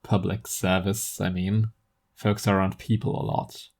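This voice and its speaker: A performer voicing a paranoid, bigoted middle-aged businessman in an audio story, halting and insinuating in manner. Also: deep voice, level tone, creaky, darkish tone, exaggerated voice. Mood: conspiratorial, bitter.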